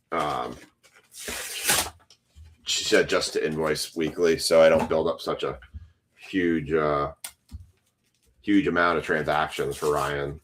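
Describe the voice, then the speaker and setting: Low-voiced man chatting while opening packs. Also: slight background noise. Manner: rambling, chatty, talks to few viewers. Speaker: card break streamer. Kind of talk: casual live-stream chat while opening packs.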